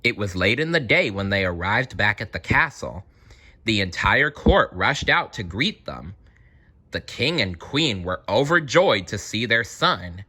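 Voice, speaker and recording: A man reading a children's storybook aloud in an expressive, dramatic manner. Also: fairly low pitch, a little slow, husky.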